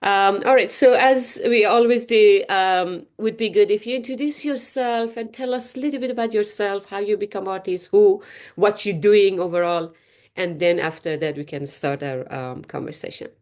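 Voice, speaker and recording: A woman hosting an interview, speaking as a with halting phrasing. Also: mid-range voice, steady tone. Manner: halting, non-native phrasing, warm laughs. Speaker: interview host.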